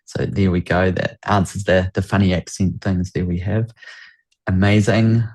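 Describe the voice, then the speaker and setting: A man's voice: low voice, fairly even intonation, moderate pace, a little mellow. A man with a New Zealand accent, hosting a business workshop on a video call and greeting people with lots of enthusiasm. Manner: enthusiastic, repeats welcomes and praise. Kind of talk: upbeat webinar hosting.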